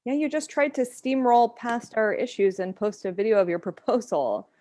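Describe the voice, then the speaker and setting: An exasperated, principled girlfriend, played by a woman at a table read. Her voice is fairly high and closely recorded with little room sound, and she speaks frankly and reproachfully. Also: animated, normal speed, dark tone, dry recording, close to an everyday voice. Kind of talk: video-call table read acting.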